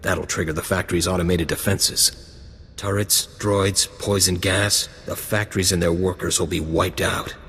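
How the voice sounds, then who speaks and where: An actor playing a gruff, blunt gang lieutenant recruiting for a criminal crew in a video game. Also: male voice, deep voice, steady tone, moderate pace, fairly bright tone, in a large hall, full character voice. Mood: commanding. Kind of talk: video game dialogue acting.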